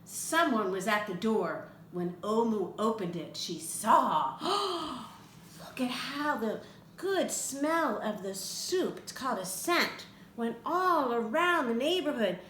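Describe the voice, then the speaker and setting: An older woman reading a picture book aloud to children, expressive and chatty, with asides to the young listener. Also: fairly high pitch, takes it slowly. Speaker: read-aloud storyteller for children. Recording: echoey room with faint background noise.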